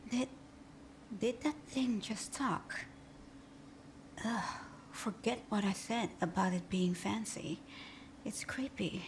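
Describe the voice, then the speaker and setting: Slow, teasing female supervillain played by a woman. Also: medium pitch, slight background noise. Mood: playful, smug. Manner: teasing, breathy murmurs and drawn-out hums. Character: flirtatious, sly female supervillain teasing her hero nemesis. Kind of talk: ASMR roleplay, scripted.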